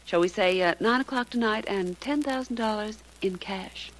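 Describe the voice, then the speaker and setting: An actress playing a bold, shrewd secretary in a 1940s radio detective drama. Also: female voice, mid-range voice, normal speed, very noisy, lightly acted. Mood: cool, taunting. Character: bold, shrewd female secretary posing as blackmailer. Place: radio studio.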